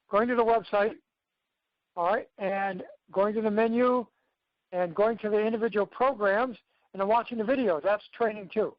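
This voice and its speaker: A man's voice: high voice, no room sound. A man speaking as a success coach, giving an instructive motivational training talk to his team on a conference call and often checking in with "okay". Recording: dull lo-fi conference call audio.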